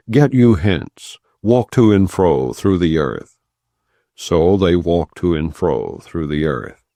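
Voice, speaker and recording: A man narrating an audiobook in a solemn, non-dramatized scripture reading style, with measured, deliberate phrasing and pauses between clauses. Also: low voice, dark tone, no room sound, studio quality.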